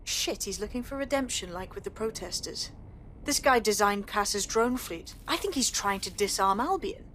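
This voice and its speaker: A woman's voice: medium pitch, expressive, moderate pace, fairly bright tone, slight background noise, natural voice. A woman playing a serious, determined resistance leader in a video game cutscene, earnest and businesslike. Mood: concerned, thoughtful.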